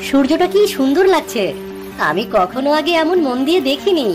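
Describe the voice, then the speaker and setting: A woman's voice: high-pitched, sing-song, brisk, over music. Curious, earnest young city boy acted by a woman. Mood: wondering, concerned. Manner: eager, wondering questions. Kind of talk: cartoon story dialogue.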